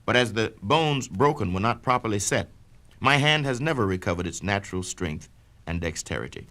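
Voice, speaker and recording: A man with a low-pitched voice reads a memoir aloud in the first person, measured and declamatory. His pitch swings widely and expressively from phrase to phrase. It sounds like an old spoken-word record. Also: animated, bright, forceful tone. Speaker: narrator reading a memoir. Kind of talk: dramatic first-person reading of an autobiography.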